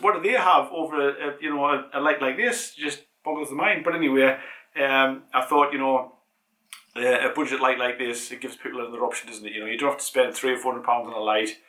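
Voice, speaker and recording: A man's voice: mid-range voice, bright, forceful tone, reverberant. A British man with a head cold hosting a casual cycling product review, rambling with many ums and restarts. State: congested with a cold. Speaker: cycling channel host.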